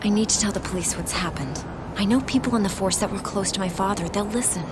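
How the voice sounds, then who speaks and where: A woman's voice: medium pitch, quick pace, natural voice. A woman playing brave young American woman, noisy ambience. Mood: firm, caring. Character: smart, brave, caring young woman, superhero's girlfriend. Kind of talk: video game cutscene acting. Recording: clear background noise and ambience.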